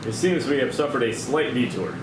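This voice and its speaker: An earnest airline captain with a formal, deadpan manner and middling pitch, played by a man at a comedy table read. The room echoes and there is clear noise behind him. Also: a little fast, natural voice. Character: earnest, absurdly calm male airline captain.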